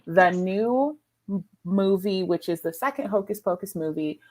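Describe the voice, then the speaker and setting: A woman's voice: medium pitch, expressive, unhurried, darkish tone. A woman hosting a book readalong livestream in an informal, chatty way, talking to co-hosts and viewers. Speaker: livestream host.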